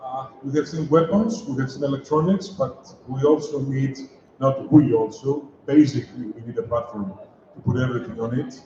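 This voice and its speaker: A man with a warm, mellow voice briskly introduces the next speaker as moderator. His voice rings in a very echoey hall with a long reverb tail. The sound is dull with faint background noise. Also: mid-range voice. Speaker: webinar moderator. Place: large conference hall.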